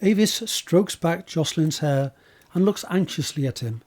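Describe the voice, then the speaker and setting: Mellow, husky male drama narrator. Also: a little high, animated, moderate pace. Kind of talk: scripted audio drama narration.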